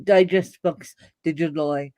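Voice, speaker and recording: A woman speaks on a live podcast over a video call. She talks very slowly and deliberately, and she lands her points with emphatic humor. The sound is dry and close, with no room echo. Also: low voice, fairly even intonation, dark tone. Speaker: podcast guest and fellow podcast host. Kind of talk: casual video interview.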